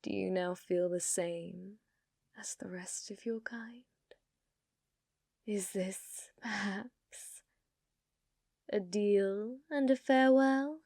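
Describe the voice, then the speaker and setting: A woman's voice: mid-range voice, takes it slowly, vocal fry, long pauses, dark tone, no echo, clean studio sound. A woman voicing an ancient, possessive female yokai in an intimate ASMR roleplay, coyly sweet yet menacing, with a teasing manner that often drops toward a whisper. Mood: probing, wary.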